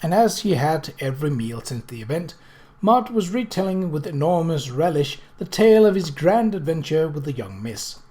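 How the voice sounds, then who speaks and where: A man's voice: a little high, very animated, normal speed, warm tone. A man narrating a novel aloud in a scripted storybook style. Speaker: audiobook reader.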